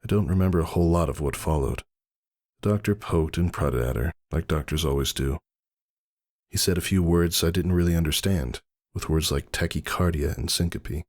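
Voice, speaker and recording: A man narrating a first-person story dramatically, in a brooding, measured and theatrical delivery. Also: low-pitched, a little mellow. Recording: music behind the voice. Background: music.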